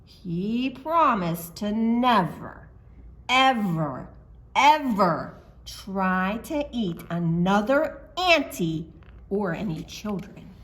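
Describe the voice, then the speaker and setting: A woman's voice: mid-range voice, very animated, drawn-out words. A woman working as a children's storyteller, speaking expressively and simply as if to young children. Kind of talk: animated storytime narration and simple craft explanation for children.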